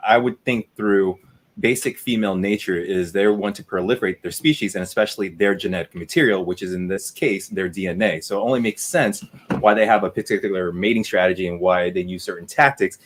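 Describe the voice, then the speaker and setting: A man's voice: deep voice, fairly quick. A man in his thirties whose first language was Vietnamese, speaking as a guest in an earnest, reflective way. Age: 30s. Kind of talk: casual discussion.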